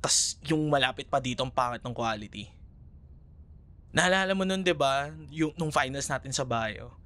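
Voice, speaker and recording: Casting: male actor as young Filipino ex-boyfriend for audio roleplay; teasing yet caring, conversational, hesitant, lively swings in intonation. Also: mid-range voice, normal speed. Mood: sheepish, explaining. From Philippines. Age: young adult. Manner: hesitant, casual, giggly, conversational. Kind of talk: ASMR roleplay, casual one-sided conversation.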